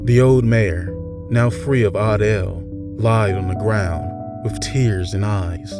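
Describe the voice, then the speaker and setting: A man narrating a scripted fairytale in a measured, theatrical way, pausing between short phrases. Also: lowish voice. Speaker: storyteller narrator. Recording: music bed under the voice. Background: instrumental music.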